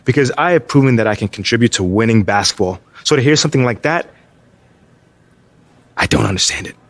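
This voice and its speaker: A man acts as a young pro basketball player in a video game story. His mid-pitched voice is expressive and recorded very dry. He talks in casual, hesitant slang, proud yet unsure of himself. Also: fairly quick, no room sound, natural voice. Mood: stunned, upset. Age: 20s. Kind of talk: naturalistic video game cutscene dialogue.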